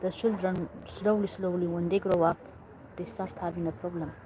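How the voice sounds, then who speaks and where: A woman's voice: lowish voice, fairly even intonation, moderate pace, noisy background. A woman from Eritrea, earnestly giving parenting advice in English and repeating herself for emphasis. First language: Tigrinya or other Eritrean language. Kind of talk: earnest talk to camera.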